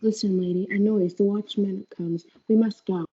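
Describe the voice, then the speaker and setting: A woman's voice: medium pitch, fairly even intonation, normal speed, mellow, slightly rough, close to an everyday voice. A female student playing an anxious, fearful male friar in a school reader's theatre performance. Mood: urgent. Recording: dull lo-fi audio.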